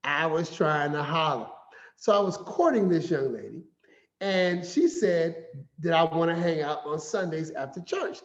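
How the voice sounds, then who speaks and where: A man giving a finance talk as an animated, playful storyteller who acts out quotes. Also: fairly high pitch, a little slow. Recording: echoey room, slightly dull sound.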